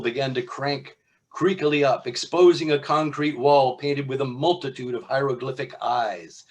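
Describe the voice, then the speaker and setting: A man, an author, reading from his own novel in a measured literary style with informal asides. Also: mid-range voice.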